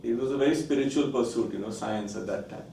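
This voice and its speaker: A man's voice: medium pitch, fast, gravelly, dark tone. A man from India whose first language is Konkani, a film director answering interview questions thoughtfully, with many fillers. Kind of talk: interview conversation. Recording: roomy, distant, faint noise.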